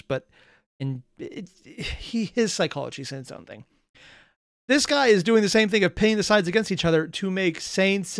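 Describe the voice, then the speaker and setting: High-pitched, clear-voiced sarcastic male commentator. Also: no room sound, clean studio sound. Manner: sarcastic, exasperated, rambling asides. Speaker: reaction video host. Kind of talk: off-the-cuff reaction commentary.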